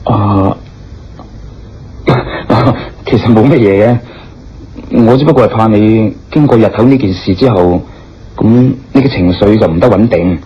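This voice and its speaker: Concerned, tactful gentleman, muffled radio sound, actor performing. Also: lowish voice, a little slow, long pauses, a little hiss or hum, close to an everyday voice. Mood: reassuring. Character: concerned, tactful gentleman probing and reassuring a stranger. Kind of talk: radio drama acting. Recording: dull lo-fi radio drama, music behind.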